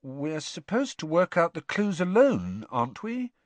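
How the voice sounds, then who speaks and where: A man's voice: sits high, sing-song, normal speed, a bit bright, dry recording, pitched above the performer's own voice, heavily acted. A man voicing a polite, guarded teenage schoolboy in an audiobook, with a careful, casual, understated manner. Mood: wary questioning.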